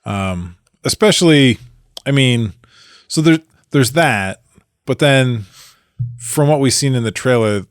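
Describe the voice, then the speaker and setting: A man's voice: medium pitch, animated, slow pace, clean tone, no echo, studio quality. A man co-hosting a casual podcast chat, goofy and joking, often giggling. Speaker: podcast co-host.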